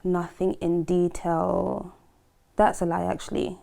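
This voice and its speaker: A woman's voice: lowish voice, monotone, slow, creaky, dark tone. A woman in her twenties, born in Trinidad and Tobago, chatting as a guest, joking loosely and laughing between words. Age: 20s. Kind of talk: casual chat.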